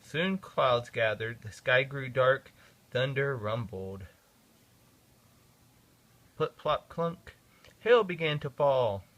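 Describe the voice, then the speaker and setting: A father reading a children's picture book aloud in an expressive, sing-song way. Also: mid-range voice, slow pace, pauses often. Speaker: father reading to his kids.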